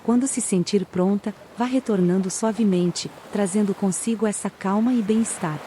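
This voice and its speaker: A Brazilian woman narrating a guided meditation in a calm, soothing way with long pauses. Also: mid-range voice, a little hiss or hum. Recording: ocean waves behind the voice. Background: sound of sea waves.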